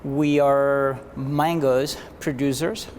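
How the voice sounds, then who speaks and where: A man from Cuba, a physician guest, answering interview questions in an earnest, measured way with frequent restarts. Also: mid-range voice, fairly even intonation, darkish tone, slight background noise.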